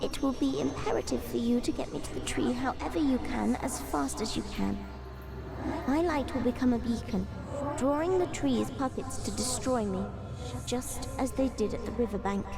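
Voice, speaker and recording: A woman's voice: a little high, creaky. A woman playing a wise, formal seed spirit, speaking in a solemn, oracular way in an audio drama. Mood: instructive, grave. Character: wise, solemn female magical seed spirit, ancient yet newborn. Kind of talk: fan audio drama acting.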